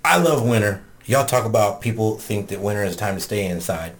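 A man's voice: fairly low pitch, animated, brisk. A man hosting a casual group podcast, joking and ribbing his friends, with frequent swearing. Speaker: podcast host.

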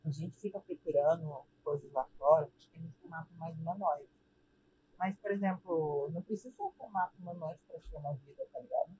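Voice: medium pitch, a little fast, warm tone, slight background noise, rough recording. A speaker with a Brazilian accent co-hosting a podcast in casual, slangy, informal talk.

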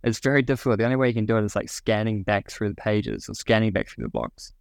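A man's voice: lowish voice, quick pace, creaky, a little mellow, no room sound, clean studio sound. A young man with a New Zealand accent, a podcast guest explaining a product with many ums and restarts. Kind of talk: podcast interview.

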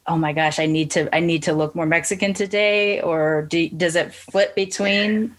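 A woman hosting a podcast, talking things over in a relaxed, reflective way and asking long open questions. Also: lowish voice, normal speed. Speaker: podcast host. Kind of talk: casual interview conversation.

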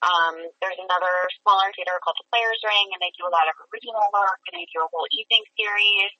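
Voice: mid-range voice, lively intonation, fast talker, pushed and bright, dry recording, recorded from a distance. A young musical theater actor from Massachusetts, answering interview questions in an earnest, chatty way with frequent fillers. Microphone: phone or call line. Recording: lo-fi call audio.

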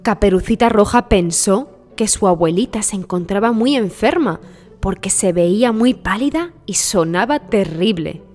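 A woman's voice: mid-range voice, animated, normal speed, a little mellow. A woman narrating a scripted children's story as the storyteller. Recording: long reverb with music behind. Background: music.

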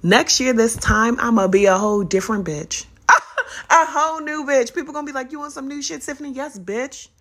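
A young adult woman hosting a casual solo podcast, her voice a little raspy as it recovers from illness, talking in an animated, playful and profane way. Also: high-pitched, moderate pace. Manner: animated, profane, playful, spiritual self-help talk. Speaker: podcast host.

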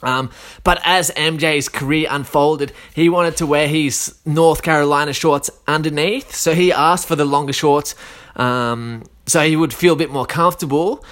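A man's voice: on the high side, a bit bright. A man in his thirties with an Australian accent, chatting casually on his own, a little sick, with a slangy, rambling delivery full of fillers. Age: 30s. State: a bit sick, coughing. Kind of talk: casual solo chat.

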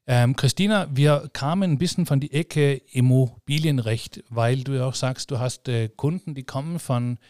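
A man's voice: medium pitch, normal speed, hardly any pauses, a little mellow, dry recording, studio quality. A man hosting a podcast, asking rambling questions full of restarts and fillers. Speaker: podcast host. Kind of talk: casual interview chat.

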